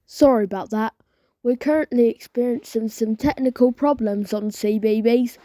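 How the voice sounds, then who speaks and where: High-voiced woman announcer. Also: normal speed, dark tone. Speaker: continuity announcer. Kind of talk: scripted emergency announcement.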